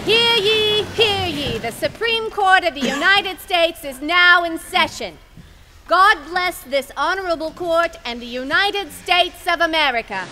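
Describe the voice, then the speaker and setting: A woman's voice: extremely high pitch, expressive, a little slow, sharp tone, slight background noise, heavily acted. A woman acting as a ceremonial court crier in an audio drama, proclaiming formally to a courtroom. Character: loud, ceremonial court crier calling session to order.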